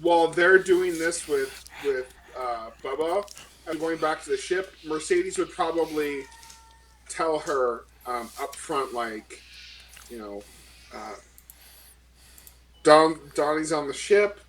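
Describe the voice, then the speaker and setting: A man playing a tabletop role-playing game, casually describing his character's actions in a halting, think-aloud way. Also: fairly high pitch, a bit bright, slight background noise, low-quality recording.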